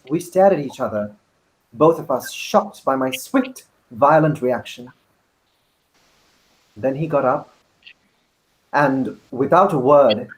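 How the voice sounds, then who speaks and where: A man reading a novel aloud as an actor, deliberate, with dramatic pauses. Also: medium pitch, rough recording.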